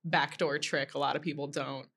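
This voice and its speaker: A woman's voice: low-pitched, little pitch movement, normal speed, a bit bright, slightly rough. A woman on a podcast panel, chatting casually in an agreeable, affirming and joking way. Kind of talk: casual chat.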